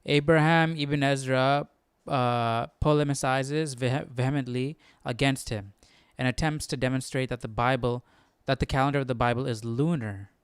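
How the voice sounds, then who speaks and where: A man hosting a Bible study livestream, casually thinking aloud and reading articles out loud in an inquisitive, informal way. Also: medium pitch, fairly even intonation, normal speed, hardly any pauses, clear, resonant tone, no echo, clean studio sound. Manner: inquisitive, informal, talks to himself.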